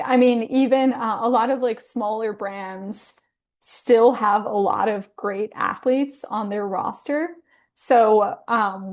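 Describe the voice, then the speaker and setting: Woman with a gravelly, relaxed voice. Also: mid-range voice. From Hawaii. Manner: relaxed, friendly, filler-heavy speech. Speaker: podcast guest, photographer. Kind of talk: casual conversational interview.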